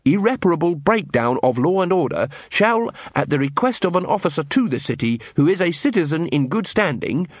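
A man voicing an earnest, polite young watchman, lively pitch. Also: no echo. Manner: measured, formal, officious. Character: young earnest, literal-minded, unflappably polite city watchman.

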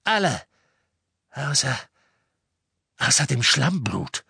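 A man voicing a cruel, sharp-tempered witch villain who gives commanding orders in an audiobook story. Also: a bass voice, very animated, slow, creaky, breathy edge, no echo, studio quality, full character voice. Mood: sharp, menacing.

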